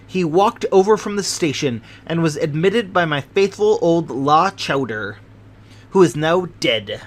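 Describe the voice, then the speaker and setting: A man voicing a dying, greedy old army major who confesses his guilt in a slow, heavy delivery. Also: on the high side.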